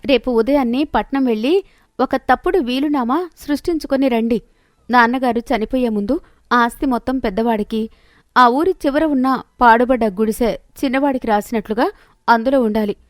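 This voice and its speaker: A woman's voice: a little high, normal speed, no room sound, studio quality, natural voice. A woman voicing a greedy, haughty wife who nags and bosses scornfully in a moral story. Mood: scheming, nagging. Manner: scornful, bossy, nagging. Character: haughty, scheming, greedy wife of an elder brother.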